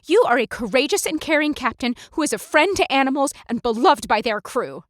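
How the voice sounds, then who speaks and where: A woman's voice: high-pitched, sing-song, fairly quick, no room sound, studio quality, pitched above the performer's own voice. A woman voicing a flustered, stammering young woman. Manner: hesitant, stumbling with ums and uhs. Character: flustered young woman, nervous, eager to please.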